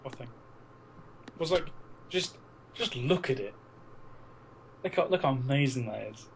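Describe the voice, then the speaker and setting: A man's voice: mid-range voice, animated, measured pace, gravelly, background noise. A man co-hosting a casual podcast, talking enthusiastically and bantering with some swearing. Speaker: podcast co-host.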